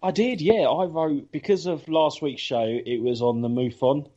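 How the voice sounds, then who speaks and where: A man's voice: a little high, moderate pace, warm tone. A man hosting a casual radio chat show, talking with many ums and restarts. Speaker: podcast host. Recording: dull lo-fi audio.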